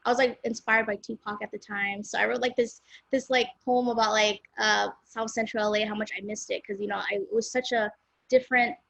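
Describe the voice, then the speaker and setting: A woman's voice: medium pitch, fairly even intonation, brisk, creaky, a bit bright, no room sound, rough recording. A young woman from Los Angeles, a singer-songwriter, talking casually as an interview guest and rambling with many fillers. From South Central Los Angeles, USA.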